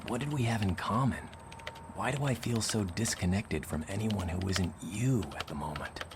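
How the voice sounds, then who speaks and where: A man's voice: fairly low pitch, normal speed, heavy background noise, close to an everyday voice. A man playing an earnest, lovestruck intellectual mutant in a motion comic, articulate and confiding. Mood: wistful. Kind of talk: motion comic letter narration.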